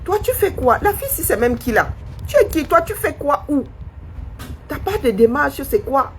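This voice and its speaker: A woman's voice: sits high, big pitch swings, normal speed, slight background noise. A woman live-streaming a scornful monologue, firing challenging rhetorical questions at a critic who commented.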